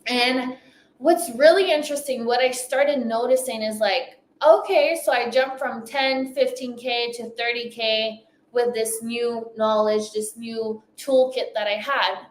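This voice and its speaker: A woman's voice: fairly high pitch, a little slow, echoey room. A woman whose first language is French, speaking with a light French accent and giving an emphatic motivational talk at a summit, repeating phrases for effect. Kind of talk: motivational speech.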